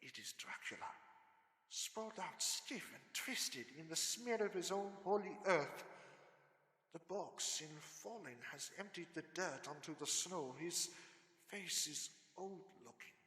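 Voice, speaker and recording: Guy doing a grave intense vampire hunter, highish voice, dramatic radio drama delivery, very echoey. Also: animated, a little slow, bright, forceful tone. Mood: grave, suspenseful. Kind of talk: old-time radio drama narration. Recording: reverberant, echoing hall.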